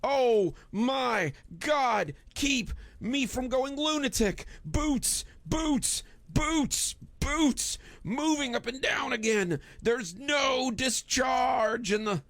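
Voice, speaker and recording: A man voicing a frantic, desperate man shouting a repetitive chant in a horror story. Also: very high-pitched, expressive, extremely slow, hardly any pauses, dry recording, pitched above the performer's own voice, full character voice. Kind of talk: horror audiobook character voice.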